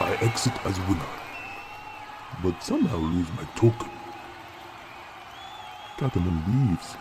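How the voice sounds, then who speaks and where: A man's voice: sits low, background noise, heavily acted. A man voicing a boastful male bard who talks about himself by name in clipped, broken grammar, with a crowd behind him.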